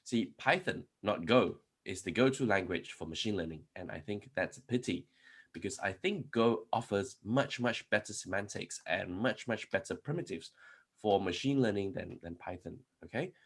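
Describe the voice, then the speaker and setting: A man's voice: lowish voice, moderate pace, breathy edge. A man giving a conference talk on programming, explaining step by step with many restarts and rhetorical questions while typing code. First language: not Polish. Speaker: conference speaker. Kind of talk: live-coding tech talk.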